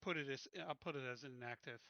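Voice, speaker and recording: A man's voice: medium pitch, fast talker, bright, forceful tone, dry recording, lo-fi sound. A man chatting casually as a player in a tabletop roleplaying game.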